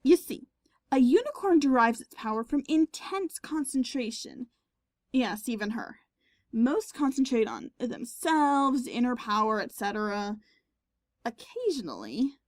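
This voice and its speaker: A woman's voice: fairly high pitch, lively intonation, a little slow, a little mellow, dry recording, clean studio sound. A woman acting a smug, mischievous unicorn pony in a fan audio drama, knowing and mocking. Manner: knowing, mocking, self-assured.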